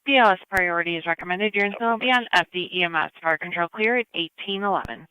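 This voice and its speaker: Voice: mid-range voice, quick pace, pushed and bright, clear, resonant tone, no echo, highs cut off. An emergency dispatcher reading out calls in a clipped, procedural way over a two-way radio scanner stream. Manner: clipped, procedural, repeats details.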